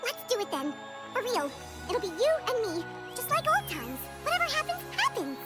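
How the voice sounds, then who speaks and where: A woman playing a casual young female character in an animated cartoon dub. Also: extremely high pitch, normal speed, full character voice. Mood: warm, eager. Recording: clip with music behind.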